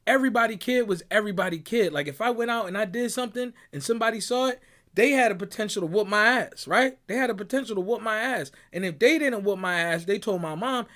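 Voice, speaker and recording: A man's voice: high-pitched, fast, fairly bright tone. A young man from Barbados giving an emphatic, preachy motivational talk, constantly checking in with "right?" and "bruh". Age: young adult. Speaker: motivational speaker. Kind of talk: motivational monologue. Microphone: audio clip recording.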